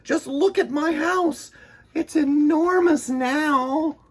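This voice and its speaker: A man's voice: extremely high pitch, slow, voice pushed up. A man voicing a dramatic, exasperated little old lady in a children's storybook. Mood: amazed. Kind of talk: animated children's storybook reading.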